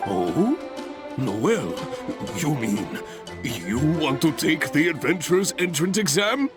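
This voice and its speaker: An actor playing a hearty, encouraging guild examiner in a video game, with a jovial, theatrical manner. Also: male voice, on the high side, pushed and bright, exaggerated voice. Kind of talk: video game character acting.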